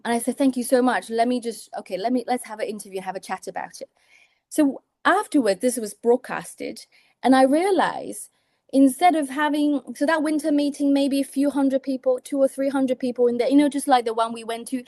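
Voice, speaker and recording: A woman's voice: fairly high pitch, darkish tone, no echo, studio quality. A woman in her thirties from Taiwan, a native Mandarin speaker with a British English accent, talking as a guest in an interview with many restarts and fillers. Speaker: surgeon, guest. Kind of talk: interview conversation.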